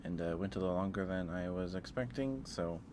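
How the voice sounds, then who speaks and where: A soft-spoken man chatting casually and intimately with viewers on an ASMR livestream. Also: sits low, a little fast, background noise.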